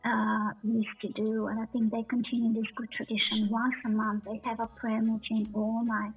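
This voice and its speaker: Halting, gravelly high-voiced woman, muffled line. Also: monotone. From Bulgaria. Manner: halting, hesitant, repeats words. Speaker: guest sharing her faith testimony. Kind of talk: personal testimony in an interview. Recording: muffled distant lo-fi call, faint music.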